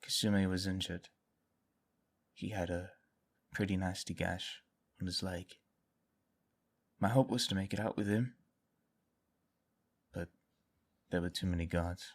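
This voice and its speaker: A man playing a stubborn, protective, troubled young guardsman in an audio drama, speaking in a halting, earnest way. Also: sits low, steady tone, gravelly, exaggerated voice. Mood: subdued, protective. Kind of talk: dramatic audio fiction acting.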